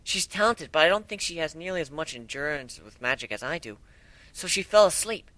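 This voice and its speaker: Man as a studious young mare, pitched high. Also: expressive, brisk, bright, forceful tone, dry recording, rough recording. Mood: earnest explaining. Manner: lecturing, explanatory. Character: studious, earnest young female pony magician.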